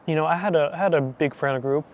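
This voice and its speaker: A man in his twenties giving a personal faith testimony, talking softly and hesitantly with many restarts. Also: fairly high pitch, fast, lo-fi sound, slight background noise. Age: 20s. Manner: soft, hesitant, full of restarts and fillers. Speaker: channel host giving testimony.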